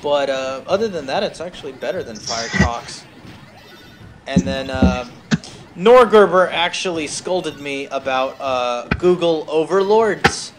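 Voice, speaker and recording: A man's voice: on the high side, expressive, a little slow, over music, low-quality recording. A man chatting casually as host of a gaming stream, rambling and digressive with bookish word choices. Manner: rambling, digressive, uses academic words.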